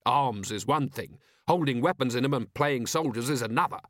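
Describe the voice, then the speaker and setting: A stubborn city watch commander in an audiobook voiced by a man. He is blunt, cynical and plain-spoken, with a mid-pitched voice in a dry, close recording. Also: normal speed, a bit bright, no echo, natural voice. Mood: arguing. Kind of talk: audiobook character voice.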